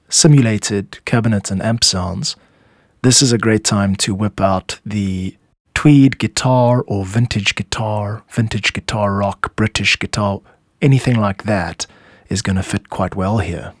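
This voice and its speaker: A man's voice: lowish voice, dry recording, studio quality. A man hosting a show as a music composer, giving scripted explanatory narration. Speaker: podcast host and music composer.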